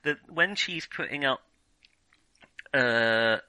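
A man in a casual film discussion, thinking aloud haltingly with many false starts. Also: mid-range voice, lively intonation, sharp tone, clear, resonant tone, dry recording. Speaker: film podcast host.

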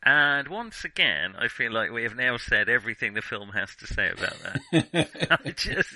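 A man's voice: mid-range voice, sing-song, moderate pace, pushed and bright, no room sound, poor audio. A man co-hosting a casual film discussion, hesitant and often correcting himself as he thinks aloud. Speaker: film discussion podcast co-host.

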